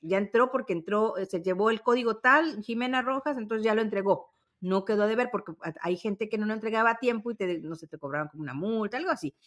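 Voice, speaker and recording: Woman podcast cohost, normal pitch, chatty and casual, explains stuff in detail. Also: fast talker, fairly bright tone. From Mexico. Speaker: podcast co-host. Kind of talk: casual nostalgic chat.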